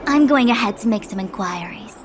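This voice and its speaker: A woman's voice: fairly high pitch, a little hiss or hum. A woman voicing a proud young female diviner in a video game, speaking in a haughty, formal and precise manner. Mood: haughty, displeased.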